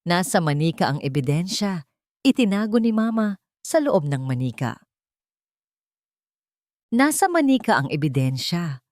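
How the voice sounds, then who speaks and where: A woman's voice: on the low side, big pitch swings, unhurried, long pauses, a little mellow, no room sound. Desperate little girl for audio drama, played by a woman: trembling, emotional, dry sound. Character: desperate young girl pleading for her mother.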